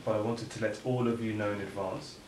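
A man's voice: on the low side, steady tone, fast talker, close to an everyday voice. An actor playing a dishevelled agency man bearing bad news in an audio drama. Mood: awkward, grave. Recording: echoey, distant, noisy room.

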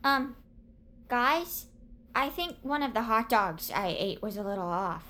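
A woman's voice: a little high, expressive, measured pace, pushed and bright. A woman playing a nervous, sensible young woman in an audio drama, talking in an awkward, hesitant, conversational way. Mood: awkward, uneasy. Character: anxious, suspicious, sensible young woman in peril. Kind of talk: naturalistic audio drama acting.

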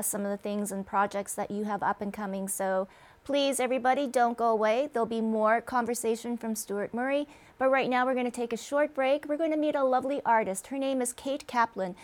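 A woman hosting a talk show, chatty and upbeat as she introduces guests and segments. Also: fairly high pitch. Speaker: host.

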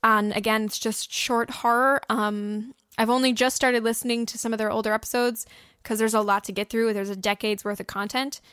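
A woman with a fairly high voice co-hosts a podcast in casual, enthusiastic chat. She talks informally, and the recording is dry, with very little room sound. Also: little pitch movement, fairly quick, fairly bright tone, clear, resonant tone, dry recording. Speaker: podcast co-host. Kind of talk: casual chat recommending audio drama podcasts.

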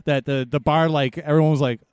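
Animated male podcast co-host, dry sound. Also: fairly high pitch, a little fast, clean tone, no room sound. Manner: animated storyteller, playful teasing. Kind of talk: casual podcast chat.

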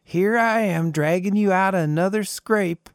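A tinny, high old desert drifter. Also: male voice, moderate pace, smooth, no room sound, clean studio sound. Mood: knowing. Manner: drawling, folksy, chatty. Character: skinny old bearded desert drifter, cheerful and sly.

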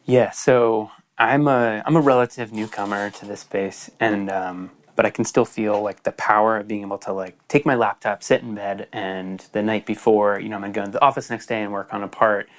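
A man's voice: lowish voice, fairly even intonation, a little fast, few pauses. A man co-hosting a podcast, asking curious follow-up questions in casual chat. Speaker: podcast co-host.

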